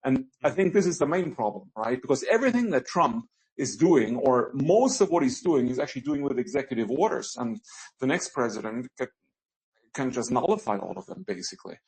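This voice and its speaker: Man musing in measured talk. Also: medium pitch, animated, a little mellow, poor audio. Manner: measured, hedging with "basically" and "right?". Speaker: podcast guest. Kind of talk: casual political chat.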